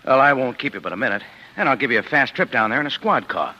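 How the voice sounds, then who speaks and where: An actor playing a brisk, sly police lieutenant with wry courtesy in a 1940s radio drama. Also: male voice, medium pitch, sing-song, lightly acted. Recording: dull, slightly noisy vintage radio recording.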